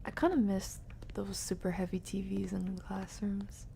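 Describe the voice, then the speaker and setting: A young woman streaming a game from her room, chatting casually and playfully, rambling and often dropping to a breathy near-whisper. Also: mid-range voice, little pitch movement, normal speed, mellow, slight background noise. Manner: playful, rambling, murmuring to herself. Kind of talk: casual live stream chat while playing.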